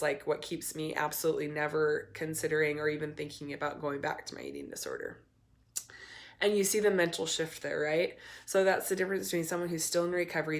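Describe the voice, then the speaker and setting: Hoarse, low-voiced woman. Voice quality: raspy. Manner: earnest, candid, with frequent ums and restarts. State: hoarse from a cold. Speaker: eating disorder recovery coach. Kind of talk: casual talk to camera.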